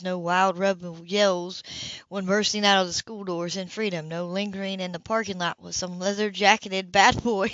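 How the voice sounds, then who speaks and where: A woman's voice: medium pitch, fairly bright tone, no room sound. A woman reading a novel aloud as an amateur narrator, now and then stumbling and correcting a misread word. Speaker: amateur audiobook reader. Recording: dull, lo-fi home recording.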